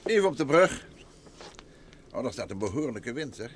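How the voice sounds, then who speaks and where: A courteous, practical gentleman detective played by a man in a vintage radio serial. His voice moves through a wide, lively range of pitch while he stays composed. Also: moderate pace, background noise, natural voice. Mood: brisk, affable. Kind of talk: vintage Dutch radio drama acting.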